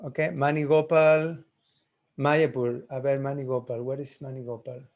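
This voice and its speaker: A man teaching a class on a video call, taking roll in a friendly, halting way, thinking aloud as he goes. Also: mid-range voice, level tone, a little mellow.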